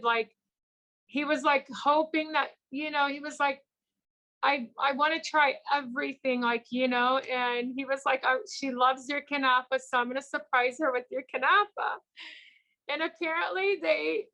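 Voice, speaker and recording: A woman's voice: high voice, creaky, poor audio. A woman and small business founder speaking as an interview guest in a casual, chatty, rambling way, full of fillers, and acting out the people she quotes as she tells stories. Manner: animated storyteller who acts out quoted people.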